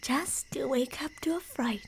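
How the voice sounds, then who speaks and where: A woman playing a delusional, playfully menacing yandere killer in an ASMR roleplay, teasing in a sing-song way and slipping into breathy whispers. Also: high-pitched, measured pace, a little hiss or hum. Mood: hushed, creepy.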